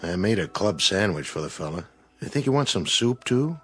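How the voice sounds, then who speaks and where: A laid-back diner cook in a vintage TV drama, reassuring and plain-spoken. His low voice moves with lively swings. Music is clearly heard behind him. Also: normal speed. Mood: easygoing. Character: easygoing diner cook, middle-aged. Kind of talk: 1960s television drama acting.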